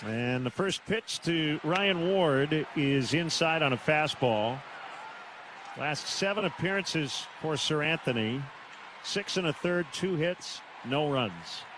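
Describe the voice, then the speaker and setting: An American man calling live baseball on the radio in short, clipped phrases with pauses. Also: fairly high pitch, lively intonation. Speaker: baseball play-by-play announcer. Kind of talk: live radio play-by-play commentary. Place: stadium broadcast booth. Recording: noisy live ballpark broadcast.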